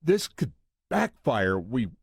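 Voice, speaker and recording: An actor playing a hesitant, placating police chief in an audio drama. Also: male voice, mid-range voice, very animated, slow, gravelly, breathy edge, no echo, studio quality, exaggerated voice. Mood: uneasy. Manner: placating, uneasy. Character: hesitant, compliant male police chief under the mayor's thumb.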